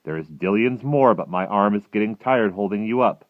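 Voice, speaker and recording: A man's voice: lowish voice, lively intonation, normal speed, no echo, phone-quality sound, pitched above the performer's own voice, lightly acted. A man voicing a big, friendly, folksy giant with rustic, mangled grammar in a storybook. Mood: gentle, insistent.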